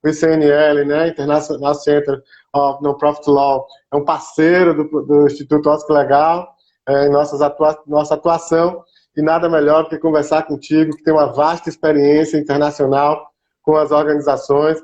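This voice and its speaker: Man, Brazilian accent, slightly high voice, informal live-stream host, welcoming, dull lo-fi audio. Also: level tone, moderate pace, a little mellow. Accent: Brazilian Portuguese. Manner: informal and welcoming, greets viewers by name. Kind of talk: casual, chatty live-stream hosting.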